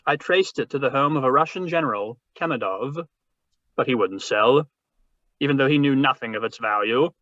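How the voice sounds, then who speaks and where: A man's voice: mid-range voice, normal speed, fairly bright tone, no echo, natural voice. A man playing a suave, wordy older crime boss with pompous, florid politeness in a 1940s-style radio drama over a video call. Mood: intent, relishing. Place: remote read-through over a video call. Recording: muffled, dry video-call audio.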